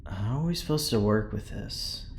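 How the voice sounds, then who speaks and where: A man's voice: on the low side, lively intonation, moderate pace, creaky, slight background noise. A man playing an irritable, distrustful technician in an audio drama, curt, exasperated and scornful.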